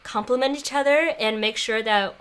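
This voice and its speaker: A young woman talking in a casual interview, thoughtful and warm, laughing often and using plenty of fillers. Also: fairly high pitch, moderate pace, clean tone. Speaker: guest, former apprentice. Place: makeshift home studio on an island.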